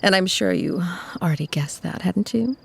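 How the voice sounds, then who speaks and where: Actress as tender, protective female vampire for ASMR drama; soft, very husky and breathy, gently creaky, genteel. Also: fairly low pitch, normal speed. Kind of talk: scripted ASMR audio roleplay.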